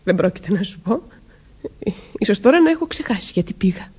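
A troubled young wife in a Greek radio play. Her voice is breathy and husky, and she stands defiant under suspicion. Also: mid-range voice, big pitch swings, a little mellow. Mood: bitter, upset. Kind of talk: Greek radio drama acting.

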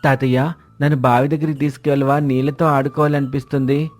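A man's voice: mid-range voice, little pitch movement, fairly quick, darkish tone, faint music behind, close to an everyday voice. A man voicing an eager young village boy pleading with his grandfather in a story.

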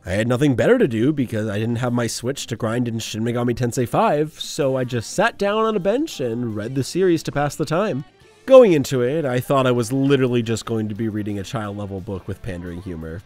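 A man's voice: medium pitch, darkish tone. A young man hosting a casual commentary video, with sarcastic, self-mocking asides. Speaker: video essay host.

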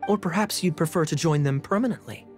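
An actor playing a composed, sly male knight captain in a video game, teasing with smooth, ironic politeness. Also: on the high side, fast, close to an everyday voice. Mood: coolly threatening. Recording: music behind the voice.

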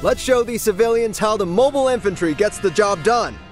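An actor playing a dutiful, suspicious infantry captain in a video game, with clipped, gruffly commanding military speech. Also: male voice, sits high, lively intonation, fairly quick, soft background music. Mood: urgent, commanding. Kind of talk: video game dramatic acting.

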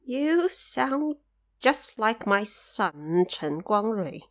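A woman voicing a wistful old beggar grandmother with a halting way of talking in an audio drama. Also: mid-range voice, big pitch swings.

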